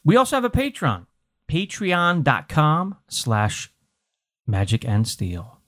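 A woman's voice: low-pitched, wildly swooping pitch, slow pace. A woman hosting a podcast casually, with informal, joking banter. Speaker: podcast host and player.

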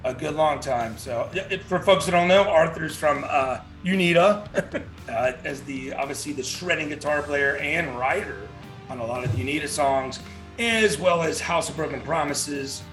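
High-pitched male co-host, casual and excitable, slangy, faint background music. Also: moderate pace. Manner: enthusiastic, laughs, slangy. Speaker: podcast co-host. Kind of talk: casual interview chat.

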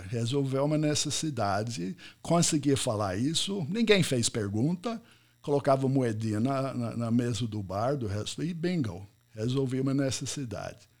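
A middle-aged American man, a native English speaker, speaking Portuguese in a live online talk and telling anecdotes with repeated words for effect. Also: mid-range voice. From United States. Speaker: event speaker.

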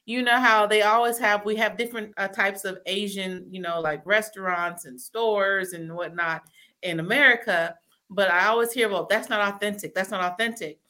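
A woman's voice: medium pitch, steady tone, moderate pace, bright, forceful tone. A woman hosting a casual interview, chatty and laughing often, who spoke Korean first as a child. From raised in a military family. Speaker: host.

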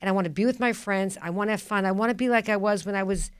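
A woman's voice: medium pitch, racing, smooth, dry recording. A woman, hosting a casual radio talk show in a blunt, emphatic way and often repeating herself. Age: 50s. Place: radio studio.

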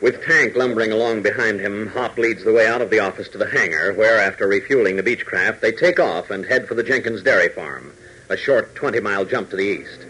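A man's voice: on the low side, fairly quick, pushed and bright, husky. A man narrating a 1940s radio serial as announcer in a dramatic, suspense-building way. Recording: noisy 1940s radio recording.

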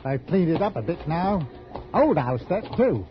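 A talkative Cockney working man in a vintage radio drama. His voice sits high and moves up and down freely, colloquial and sure of what he knows. The old recording sounds muffled. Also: big pitch swings, normal speed, faint music behind. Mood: chatty, informative. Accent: London Cockney. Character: talkative working-class London local man, matter-of-fact. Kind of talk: 1940s radio acting.

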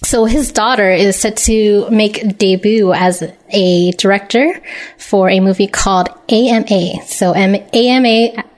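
A woman's voice: mid-range voice, fairly even intonation, a little slow. A woman hosting a show, reading out news and announcements in an informal, chatty style. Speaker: podcast host. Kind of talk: casual live show news chat.

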